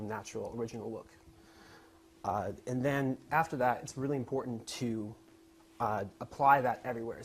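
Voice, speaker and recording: A young man giving an informal slide presentation in a hesitant, halting manner. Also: mid-range voice, slight background noise. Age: young adult. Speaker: student presenter.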